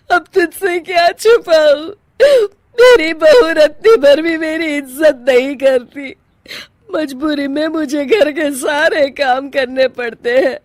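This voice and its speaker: A woman's voice: high voice, takes it slowly, no room sound, pitched above the performer's own voice. A woman voicing a meek, long-suffering elderly mother-in-law who is crying, in a cartoon story. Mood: tearful.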